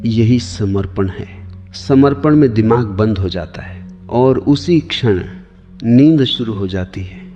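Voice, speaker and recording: A man narrating a guided sleep meditation as spiritual discourse, giving soothing, deliberate instructions in short phrases with pauses. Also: fairly low pitch, expressive, warm tone. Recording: long reverb, slightly dull, music behind.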